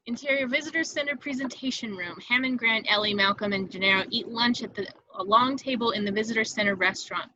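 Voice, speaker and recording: A woman's voice: on the high side, quick pace, few pauses, sharp tone, a little hiss or hum. A woman reading stage directions as the narrator of a script table read, in a slightly dull, dry home recording.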